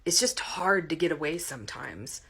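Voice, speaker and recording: A woman voicing a male movie star, defensive yet bashful, with a casual, halting way of talking in an audiobook. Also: low voice, sing-song, pushed and bright, breathy edge, heavily acted. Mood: sheepish.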